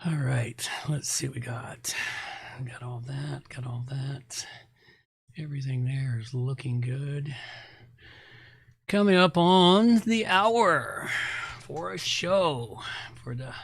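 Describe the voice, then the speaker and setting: A man's voice: medium pitch, slow pace, creaky, pauses often, slightly rough. He is a man with a mid-range voice, talking casually as a podcast co-host. His delivery is blunt and rambling, with a grumbling edge. It sounds like unscripted pre-show chat.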